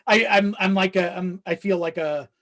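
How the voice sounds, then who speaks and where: Podcast guest for casual conversation: mid-pitched voice, self-deprecating, loose and profane. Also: steady tone, normal speed, fairly bright tone. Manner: informal, sweary, self-deprecating. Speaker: video editor.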